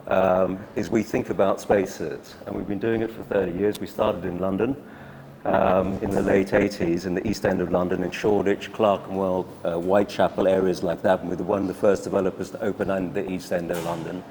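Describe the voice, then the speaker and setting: A man's voice: deep voice, steady tone, moderate pace, a little mellow, a little hiss or hum. A middle-aged man speaking as a panelist at a public talk, earnest and repetitive, with frequent restarts. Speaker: panelist, urban developer.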